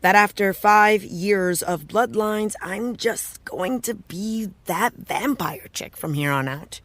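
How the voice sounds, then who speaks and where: A woman's voice: mid-range voice, slow pace, close to an everyday voice. A woman playing a jaded, self-doubting American television actress in an audio drama, talking casually and wryly with trailing thoughts. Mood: anxious, wry. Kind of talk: naturalistic audio drama dialogue.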